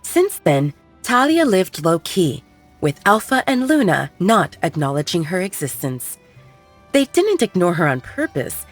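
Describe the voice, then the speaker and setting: Female narrator, lower voice with a lively melodic range, steady storybook read over faint music. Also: a little slow. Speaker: audiobook narrator.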